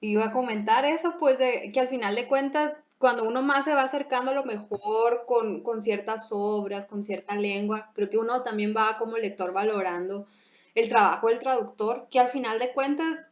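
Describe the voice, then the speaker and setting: A young woman with a Mexican accent chatting as host, lively, laughing often and restarting her phrases. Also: fairly high pitch. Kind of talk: casual conversation. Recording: echoey room, dull lo-fi sound.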